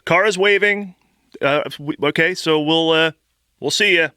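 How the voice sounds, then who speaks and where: A man's voice: fairly high pitch, a little slow, sharp tone, clean tone, dry recording, studio quality. A man playing a squeamish, childish boyfriend in a comedy radio sketch, flustered and stammering as he bickers. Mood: casual griping. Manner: stammering, flustered, repeats words.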